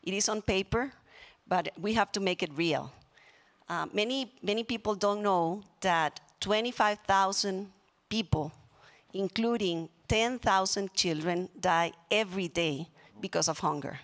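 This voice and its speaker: A woman's voice: mid-range voice, a little slow. Female social entrepreneur, Costa Rican accent, Spanish native, earnest stage speech on handheld mic. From Costa Rica. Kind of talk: public speech. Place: conference stage in an auditorium. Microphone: handheld microphone.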